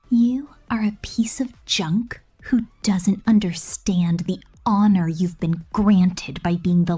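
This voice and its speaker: A woman voicing a possessive, cold female killer drone robot in a breathy, slow, menacing whisper for an ASMR roleplay. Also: mid-range voice, mellow.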